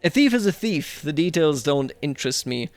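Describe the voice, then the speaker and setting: A man's voice: a little high, big pitch swings, moderate pace, no room sound. A man voicing a proud, honour-bound medieval king in a game roleplay, passing judgement in a decisive, declamatory way. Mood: stern, dismissive.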